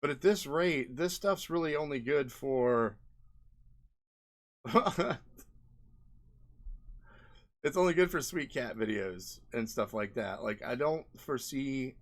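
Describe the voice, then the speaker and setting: Male indie game developer hosting a video: medium pitch, informal rambling delivery, off-the-cuff commentary. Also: expressive, slow, pauses often. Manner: rambling, colloquial, frequent "like" and "right?" tags. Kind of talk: casual off-the-cuff commentary over video clips.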